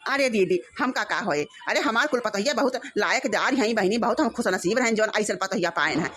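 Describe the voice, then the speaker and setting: A woman's voice: mid-range voice, lively intonation, a little fast, a little hiss or hum, lightly acted. A woman with an Awadhi accent voicing a flustered, fawning village mother-in-law in a comedy cartoon, talking effusively and repetitively. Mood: effusive, flattering. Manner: effusive, repetitive, swinging to shouted orders. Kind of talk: rural family comedy cartoon.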